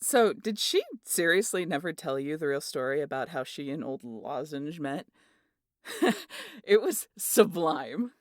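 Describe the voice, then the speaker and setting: A woman acting a rowdy, muscular aunt in an audio roleplay. The voice is on the low side and closely recorded with a dry sound, swaggering and teasing as it spins tales. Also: measured pace, no room sound. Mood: gleeful, boastful. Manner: swaggering, foul-mouthed, animated storyteller. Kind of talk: ASMR roleplay audio drama.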